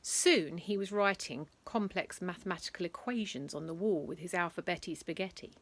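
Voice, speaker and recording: A woman reading a bedtime story aloud to children, with lively, dramatic emphasis and chatty asides. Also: on the low side, moderate pace.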